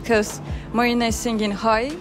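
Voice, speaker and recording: A woman's voice: on the high side, flat delivery, moderate pace, over music. A young woman co-hosting a casual interview, asking questions playfully and laughing along. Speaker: co-host, interviewer. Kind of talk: casual conversation in English.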